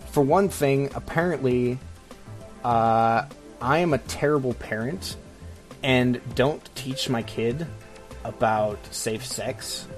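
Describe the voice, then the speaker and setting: A man hosting a casual podcast, ranting in a halting, sarcastic way. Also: mid-range voice. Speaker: podcast host.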